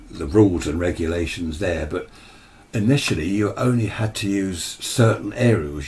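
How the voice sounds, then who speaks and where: A man's voice: deep voice, a little mellow. A British man giving an informal, opinionated explainer in a conversational way, as a radio hobby presenter. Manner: opinionated, conversational, mimics imagined replies. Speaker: amateur radio video presenter.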